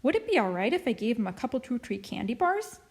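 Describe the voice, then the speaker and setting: A woman's voice: fairly high pitch, sing-song, fast talker, darkish tone, breathy edge, pitched above the performer's own voice, full character voice. A woman voicing an eccentric, kindly older neighbor lady in an audiobook, with talkative, colloquial, folksy phrasing. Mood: grateful. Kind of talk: audiobook character voice.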